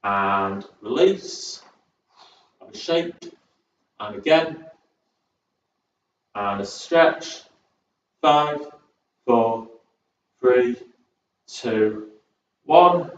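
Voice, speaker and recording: A man's voice: mid-range voice, lively intonation, drawn-out words, pauses often, slight background noise. A man from Southport with a northern English accent, leading a chair-based exercise class in a chatty, upbeat way and counting down each set. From England, born in Birmingham, raised in Southport. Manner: chatty, upbeat, rambles between cues, counts down reps. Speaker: fitness instructor. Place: large echoey room at a community sports centre. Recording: very echoey, distant and dull.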